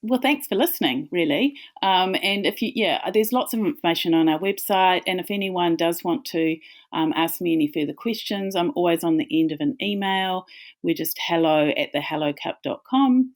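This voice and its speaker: A woman's voice: lowish voice, animated, moderate pace. A woman with a New Zealand accent, a company founder talking as a guest in a casual, friendly interview, with small restarts.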